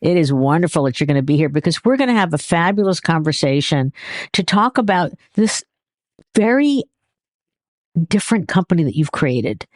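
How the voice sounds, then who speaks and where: A woman's voice: sits low, no echo, clean studio sound. Upbeat woman podcast host. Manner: upbeat, enthusiastic promoter. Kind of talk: scripted intro, then interview.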